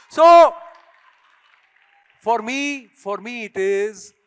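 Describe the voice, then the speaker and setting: A man with an Indian accent and a high-pitched voice drives his stage speech with pointed rhetorical questions. His first language is Tamil. The sound carries a long echo from a big hall. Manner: emphatic, rhetorical, challenging the audience. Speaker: speaker. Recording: echoey hall.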